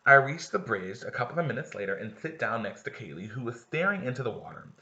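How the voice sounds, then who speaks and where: Casual, bright-voiced man teaching. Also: mid-range voice, animated, fast talker. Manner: casual, talks straight to students. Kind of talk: read-aloud lesson with discussion. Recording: roomy, slightly dull recording.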